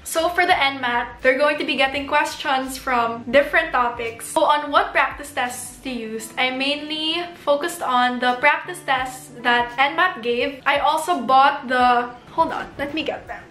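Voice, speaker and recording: Filipino woman in her twenties, high bright voice, chatty and encouraging, echoey bedroom. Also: unhurried, few pauses. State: losing her voice. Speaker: vlogger giving test tips. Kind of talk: casual advice vlog. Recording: echoey room.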